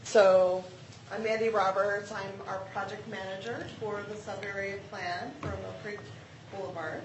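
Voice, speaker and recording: A woman in her fifties, a project manager, presenting to a community meeting in a loud, friendly way. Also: mid-range voice, fairly even intonation, a little slow. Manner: loud, friendly, self-deprecating. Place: meeting room. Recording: echoey room, distant mic, background noise.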